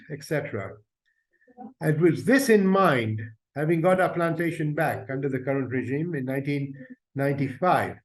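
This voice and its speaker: An older man from Uganda, an invited speaker giving an earnest, measured webinar presentation about his family's farming business. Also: a little high, rough recording. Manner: earnest, grateful, measured storytelling. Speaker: invited speaker, company representative.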